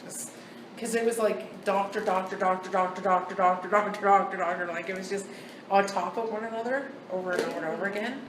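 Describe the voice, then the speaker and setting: A woman on a ghost hunt speaks in halting single words, then chats about what she heard. She sounds set back from the microphone in a boomy, echoing cellar. There is loud background hiss beneath her voice. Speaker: paranormal investigator relaying words she hears. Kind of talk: casual ghost-hunt chatter. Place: old hotel wine cellar, basement. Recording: echoey cellar, distant mic, loud background noise.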